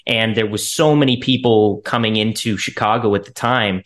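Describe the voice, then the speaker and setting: A man's voice: lowish voice, steady tone, normal speed, fairly bright tone. A man, an actor, answering conversationally as an interview guest.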